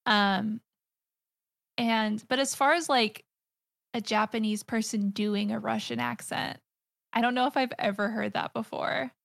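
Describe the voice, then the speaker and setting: Gravelly, bright American woman. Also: fairly high pitch, steady tone, measured pace, no room sound, studio quality. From United States. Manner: hesitant, many fillers and restarts. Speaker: podcast guest. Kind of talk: casual chat.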